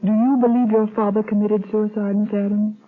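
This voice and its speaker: A woman's voice: mid-range voice, level tone, fairly quick, soft background music, natural voice. A woman playing an earnest, questioning companion. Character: loyal, inquisitive young woman companion. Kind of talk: 1940s radio acting. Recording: tinny old radio broadcast.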